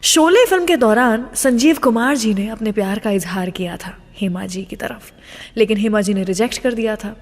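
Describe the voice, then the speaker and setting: A woman's voice: mid-range voice, moderate pace. A woman with an Indian accent hosting a radio show, telling scripted stories and introducing songs in a dramatic, emphatic way. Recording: long reverb on the voice.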